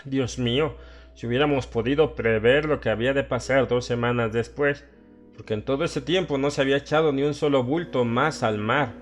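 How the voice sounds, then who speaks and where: A man voicing a hysterical old townsman in a horror audiobook, rambling conspiratorially about dark secrets. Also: mid-range voice, a little fast, soft background music, natural voice. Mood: agitated. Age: older. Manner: agitated, conspiratorial, laughing and questioning his listener. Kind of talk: dramatised horror audiobook monologue.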